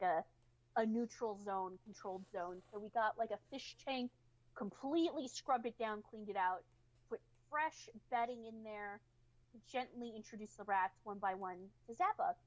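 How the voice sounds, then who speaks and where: Higher-voiced woman chatting, slightly dull audio. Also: moderate pace. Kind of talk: casual chat, personal storytelling.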